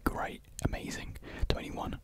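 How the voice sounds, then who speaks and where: A young man speaks in a breathy whisper close to the microphone, rambling softly and hesitantly in a casual ASMR chat. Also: unhurried. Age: young adult. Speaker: ASMR video creator. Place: small room with painted block walls. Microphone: large desktop USB condenser microphone, close up.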